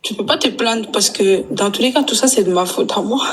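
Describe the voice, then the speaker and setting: This is a woman from France calling in to an advice show. Her voice is mid-pitched. She speaks haltingly and sounds resigned, mostly answering with short confirmations. Faint noise runs under her call line. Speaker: caller.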